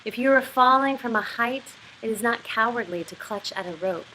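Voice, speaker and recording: A woman voicing a menacing, schoolmasterish male interrogator from a novel, measured and deliberate, lecturing coldly. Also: a little high, pushed and bright, slight background noise, pitched above the performer's own voice, poor audio. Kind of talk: literary reading of novel dialogue.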